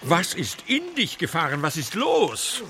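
Earnest storyteller: highish, hugely animated, urgent, children's audio drama with background noise. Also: male voice, measured pace, heavily acted. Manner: urgent, admonishing. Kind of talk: children's radio play acting.

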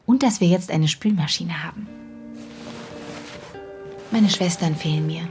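A woman playing an affectionate, watchful family nanny in a radio drama, musing and gently probing. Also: on the low side, measured pace, music in the background, natural voice. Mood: fond, wistful.

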